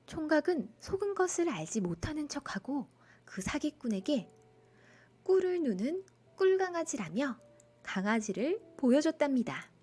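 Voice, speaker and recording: High, animated female storyteller. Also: normal speed. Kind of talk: expressive children's bedtime folktale narration.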